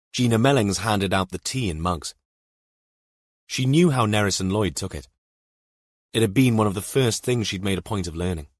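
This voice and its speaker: A man with a fairly low voice narrates a mystery thriller. His scripted delivery is measured, and the recording is very dry, with hardly any room sound. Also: no room sound. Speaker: audiobook narrator.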